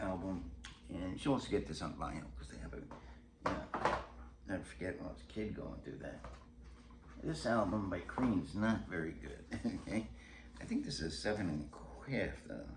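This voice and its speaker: An older man chatting casually as he shows off a music collection, rambling and blunt in a chuckly way. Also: deep voice. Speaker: host of a music collecting vlog. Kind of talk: casual show-and-tell chat. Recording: roomy echo with clear background noise.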